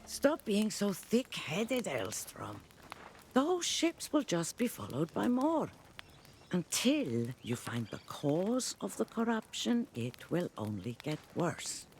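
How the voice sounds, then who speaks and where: An actor playing a grim, blunt, world-weary warrior in a video game, speaking in a solemn, measured manner. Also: male voice, high voice, wildly swooping pitch, a little hiss or hum, full character voice. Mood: scolding. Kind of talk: video game cutscene acting.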